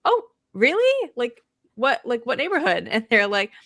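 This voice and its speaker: A woman from near Boston, a VTuber guest, with a mostly masked Boston accent, laughing often and animated in casual chat. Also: a little high, normal speed. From Massachusetts.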